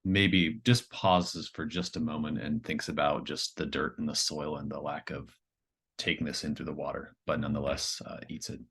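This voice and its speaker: A man playing a tabletop game, narrating his character's actions in a measured, thoughtful way. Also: a bass voice, little pitch movement, fairly bright tone, husky. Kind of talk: improvised third-person roleplay narration.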